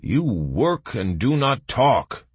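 A stern fantasy prison guard played by a male narrator growls out orders with grim impatience. The voice is husky and rough, lifted from a low natural register into forceful barking, in a dry storybook recording. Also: very animated, takes it slowly, no echo, exaggerated voice. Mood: gruff.

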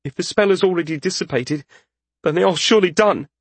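A man's voice: high voice, brisk, breathy edge, dry recording, voice pushed up. A man voicing an earnest, troubled young male mage in a fantasy audiobook, explaining himself with anguish. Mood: earnest, grave.

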